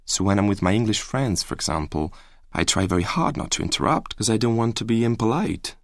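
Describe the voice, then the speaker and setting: A man with an Italian accent giving a clearly spoken scripted monologue for a language-course listening exercise. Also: lowish voice, expressive, a little fast, no room sound, studio quality. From Italy.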